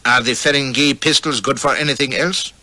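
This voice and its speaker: A man puts on a big Afghan warrior, the cold villain of a storybook. He speaks with menace and contempt, in a bright, cutting tone that rises in challenge. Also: medium pitch, fairly quick, no echo, pitched above the performer's own voice. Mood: cold scorn. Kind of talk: audiobook character acting.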